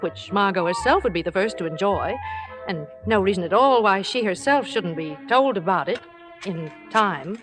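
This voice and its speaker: An actress playing a composed, quietly scheming wife, speaking in a measured, arch radio drama manner. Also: female voice, mid-range voice, natural voice. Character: composed, wry, quietly scheming married woman narrator. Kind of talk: 1950s radio drama narration and dialogue. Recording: old radio broadcast with music behind.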